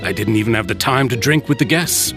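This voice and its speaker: A man's voice: mid-range voice, expressive, a little fast, over music, lightly acted. A man playing a courteous, protective middle-aged uncle and guild leader in a video game. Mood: apologetic, earnest. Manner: courteous, theatrical.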